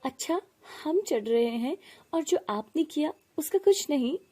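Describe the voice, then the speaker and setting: A woman voicing a gentle, protective widowed mother in a family story, plaintive and pleading. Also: sits high, lively intonation, a little slow, pitched above the performer's own voice. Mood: indignant.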